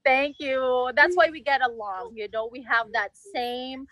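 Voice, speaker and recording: Very high, bright Filipino-accented woman guest. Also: fairly even intonation, unhurried, no echo. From Philippines. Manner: earnest, many "you know"s. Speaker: guest, creative designer. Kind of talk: casual interview talk.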